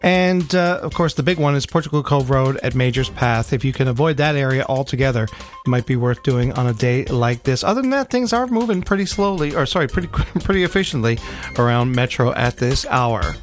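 A man talks through traffic and chats with a guest on a morning radio show. His voice sits in a middling range and his delivery is brisk and casual. Faint music plays underneath. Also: mid-range voice. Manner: conversational, brisk, easygoing. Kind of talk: live radio traffic report and interview.

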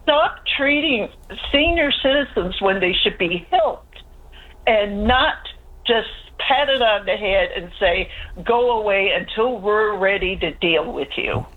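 A woman's voice: medium pitch, lively intonation, slow pace. Older lady w calling in, worn down and pleading, tinny phone sound. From Newfoundland, Canada. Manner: frustrated, pleading, talks in long runs. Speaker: caller. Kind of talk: call-in radio complaint.

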